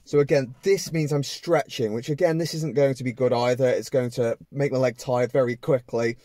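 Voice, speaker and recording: A man's voice: mid-range voice, steady tone, normal speed, warm tone, no room sound, studio quality. A man in his thirties, a driving instructor, patiently explaining step by step from the driver's seat of a parked car. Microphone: camera on the dashboard.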